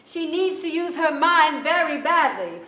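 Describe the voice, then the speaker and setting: An older actress playing a brisk, plainspoken female relative in an emphatic staged reading. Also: sits high, lively intonation, pushed and bright, heavily acted. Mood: insistent. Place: theatre stage before an audience. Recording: echoey theatre stage.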